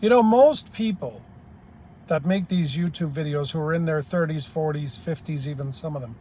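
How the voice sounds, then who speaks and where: A blunt older man, Irish-born, holds forth in a rambling, plain-spoken monologue. His voice sits a touch high for a man, with a faint hum of noise behind him. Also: moderate pace, dark tone. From Ireland. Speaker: YouTube commentator. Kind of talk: opinionated monologue.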